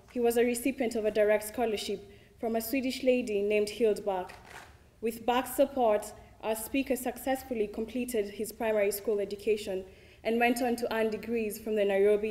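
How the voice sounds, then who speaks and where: A young woman from Kenya with a Kenyan accent, a student giving a formal, measured introduction of a lecture speaker. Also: medium pitch, warm tone. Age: young adult. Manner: measured, read from a script.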